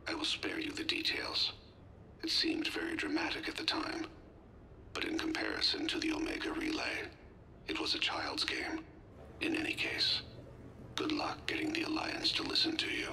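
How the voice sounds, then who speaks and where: Very deep, gravelly ailing alien ex-assassin. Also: male voice, very animated, pushed and bright, whispered, heavily acted, poor audio. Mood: reflective. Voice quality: gravelly, breathy low voice. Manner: formal, measured, reflective. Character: ailing male alien ex-assassin, reflective, wry, fatherly. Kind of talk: video game acting, recorded personal message. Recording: clear background noise and ambience.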